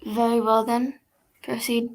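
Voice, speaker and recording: A woman's voice: on the high side, flat delivery, a little slow. A woman playing a formal, authoritative prefect hearing a case in an amateur radio mystery play. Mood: firm.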